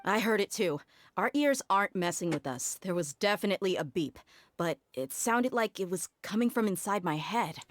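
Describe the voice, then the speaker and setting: A woman's voice: mid-range voice, lively intonation, normal speed, natural voice. A woman playing a frank, determined young female mercenary warrior in a video game, speaking emphatically. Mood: alarmed. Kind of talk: video game character acting.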